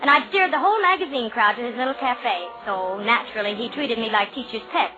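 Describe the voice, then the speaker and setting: A woman's voice: fairly high pitch, a little fast, natural voice. A woman acting a witty, exasperated young magazine columnist in a 1940s radio comedy. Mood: resigned. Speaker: actress in a radio play. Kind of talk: 1940s radio acting, first-person comic narration. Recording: dull old radio recording, music behind.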